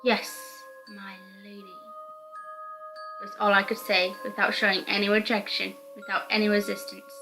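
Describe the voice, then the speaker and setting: A woman's voice: medium pitch, level tone, brisk, hardly any pauses, music in the background. A woman reading scripted first-person narration from a visual novel aloud.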